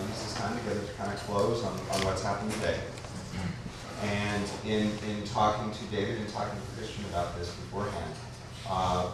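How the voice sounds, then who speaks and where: A man with halting phrasing, informally opening a sharing circle as the group's facilitator. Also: lowish voice. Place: large indoor classroom with wooden floor. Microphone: camera mic from across the room. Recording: echoey room, distant camera mic, noisy.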